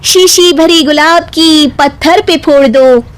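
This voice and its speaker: A woman's voice: high-pitched, slow, rough recording. A woman voicing a feisty, exasperated young daughter-in-law with a pointed way of talking in a cartoon comedy story. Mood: defiant, theatrical. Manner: pointed, complaining.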